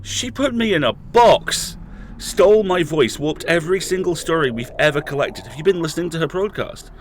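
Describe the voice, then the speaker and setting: A man's voice: a little high, animated, normal speed, a little hiss or hum, lightly acted. A man playing an imprisoned podcast host in an audio drama, intense and raging, pleading with his colleagues. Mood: desperate. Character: imprisoned male podcast host, furious and desperate.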